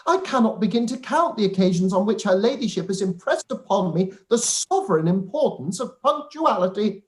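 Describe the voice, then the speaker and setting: A woman's voice: mid-range voice, lively intonation, moderate pace, a little mellow, lightly acted. A woman playing a pompous, long-winded and obsequious clergyman in a radio play reading. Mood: flustered. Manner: pompous, wordy.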